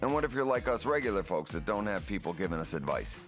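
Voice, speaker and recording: Lively American ad announcer, a man. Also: fairly low pitch, quick pace. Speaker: radio ad interviewer. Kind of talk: scripted radio ad read. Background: music bed.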